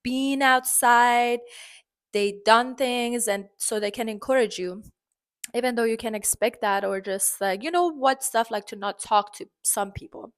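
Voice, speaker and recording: A woman from Iran with an Iranian accent, speaking English as a second language, chatting expressively in a casual vlog full of fillers and sudden pitch jumps. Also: measured pace. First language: Farsi. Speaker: vlogger.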